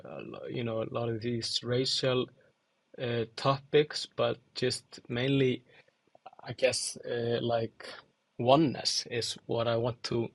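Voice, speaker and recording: A young man from Iceland and Angola answering interview questions thoughtfully, with many fillers and pauses. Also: mid-range voice, no room sound. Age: young adult. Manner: hesitant, many fillers and restarts. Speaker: podcast guest, musician and designer.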